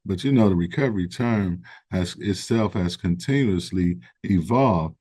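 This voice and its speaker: Interview guest, man, low voice, dry close recording, measured and earnest. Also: dark tone, husky, dry recording. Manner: measured, earnest, self-correcting as he explains. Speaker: guest, founder of a recovery organization. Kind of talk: conversational interview.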